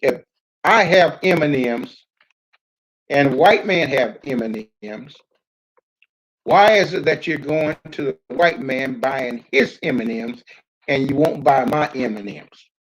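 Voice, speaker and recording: An older man giving an unscripted public address, reminiscing in a halting, deliberate way with frequent hesitations. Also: mid-range voice, poor audio. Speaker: community speaker recounting his experience as a pioneer.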